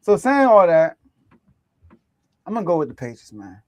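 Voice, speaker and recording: High-voiced man in loose sports banter. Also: wildly swooping pitch, moderate pace, no room sound. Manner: rambling, laughs often, many restarts. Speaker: sports show host. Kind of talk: casual sports chat.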